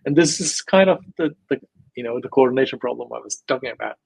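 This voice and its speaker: A man's voice: medium pitch, quick pace, vocal fry, darkish tone, breathy edge, no room sound. A man speaking as an interview guest, talking in a digressive, emphatic way with many fillers. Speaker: guest, crypto scientist. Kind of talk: casual interview talk.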